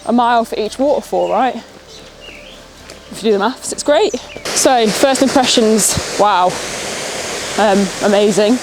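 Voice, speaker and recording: A young woman with a Nottingham accent, sniffly and a bit nasal, chatting excitedly as a travel vlogger while out walking. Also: fairly high pitch, takes it slowly, long pauses, poor audio. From Nottingham, England. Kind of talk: chatty walk-and-talk vlog. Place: outdoors on a countryside walk, rain.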